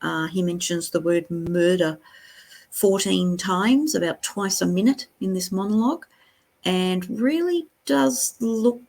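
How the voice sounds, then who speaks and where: A woman giving critical, disapproving spoken commentary as the host of a reaction video, with blunt interjections. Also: lowish voice.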